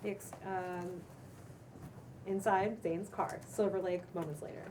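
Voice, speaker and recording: Lower-pitched woman reading screenplay directions. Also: fairly even intonation, a little slow, a little mellow. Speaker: stage directions reader. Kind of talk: cold read of screenplay directions. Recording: distant microphone with clear room noise.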